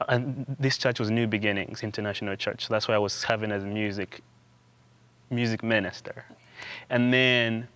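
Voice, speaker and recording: Low-voiced young man from Uganda. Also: fairly bright tone, clear, resonant tone, no room sound. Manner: hesitant, frequent restarts and repeated words. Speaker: interview guest, theology student. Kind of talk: conversational interview.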